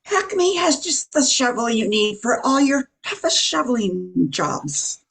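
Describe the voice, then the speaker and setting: An older woman playing a bright, perky announcer selling products in an old-time radio show. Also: fairly high pitch, big pitch swings, slow pace, gravelly, slightly rough, exaggerated voice, poor audio. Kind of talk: 1940s radio advertising.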